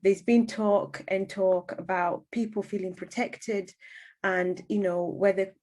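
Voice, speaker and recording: A woman's voice: mid-range voice, fairly even intonation, measured pace, darkish tone. A woman hosting a radio interview in a conversational way, often rephrasing her questions as she goes. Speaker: radio host.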